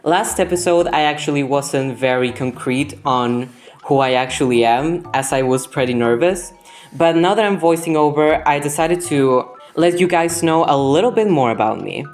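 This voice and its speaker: Young female gaming narrator, native Spanish speaker with Spanish accent, low register, scripted voice-over over background music. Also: moderate pace, darkish tone. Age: young adult. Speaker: gaming video host.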